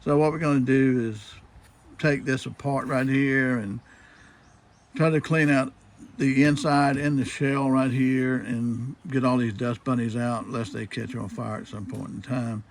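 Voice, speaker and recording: A man's voice: medium pitch, steady tone, measured pace. A man talking casually and unscripted through a hands-on demonstration, folksy and repetitive, posing questions and answering them himself. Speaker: hobbyist demonstrating old radio restoration.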